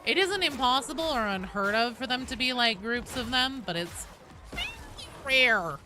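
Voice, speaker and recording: A woman's voice: fairly high pitch, lively intonation, normal speed, music in the background. A woman live streaming a video game, chatting casually and answering viewers in a joking, sweary way. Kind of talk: casual gaming chat, reading and answering viewer comments.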